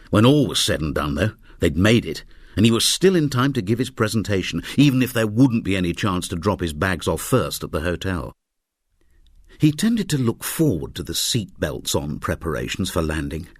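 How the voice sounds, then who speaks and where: A man reads fiction as a narrator, with wry expression. His voice sits fairly low yet moves widely in pitch to shape the drama. The recording is dry, with no room sound. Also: sing-song, normal speed, breathy edge, no echo. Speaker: audiobook narrator. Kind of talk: dramatic scripted story narration.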